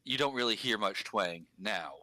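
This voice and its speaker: A man with a lowish, bright-edged voice talks casually and precisely. He picks his words with care, and his humor is dry and wry. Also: moderate pace, no room sound. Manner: articulate, wry, talks about pronunciation. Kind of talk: casual conversation.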